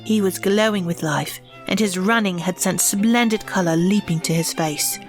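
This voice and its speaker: A woman narrating an audio drama in a measured, expressive way. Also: medium pitch, soft background music.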